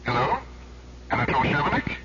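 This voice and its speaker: Sharp, menacing mystery caller. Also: male voice, medium pitch, sing-song, brisk. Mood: probing. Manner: knowing, insistent. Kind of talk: old-time radio drama acting. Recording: muffled, slightly noisy old radio phone call.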